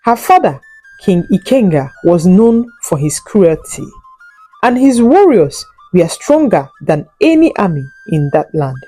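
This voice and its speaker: A woman narrating a scripted folk tale in a solemn, deliberate and dramatic storytelling manner. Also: lowish voice, sing-song, warm tone, breathy edge, dry recording, soft background music, poor audio.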